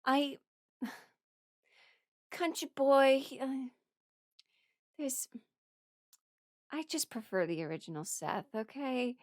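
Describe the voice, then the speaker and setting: A young adult woman rambling casually through her opinions as a video creator, expressive and emphatic, blunt but apologetic. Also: high voice, slow, pauses often, no room sound, clean studio sound. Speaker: video creator ranking characters.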